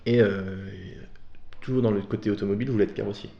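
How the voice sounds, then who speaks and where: A man's voice: on the low side, steady tone, fast talker, vocal fry, slight background noise. A man from Belgium talking casually as an interview guest, telling personal stories in a candid, informal, slangy way. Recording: dull, roomy echoing sound.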